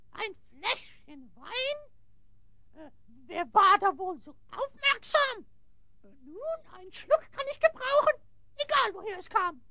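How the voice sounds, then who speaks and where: Screechy, creaky cackling hyena spy. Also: very high-pitched, very animated, takes it slowly, pushed and bright, full character voice. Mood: sly, pleased. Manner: theatrical, speaks in rhymes, cackles. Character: scheming, whining hyena spy, male, speaking in rhyme.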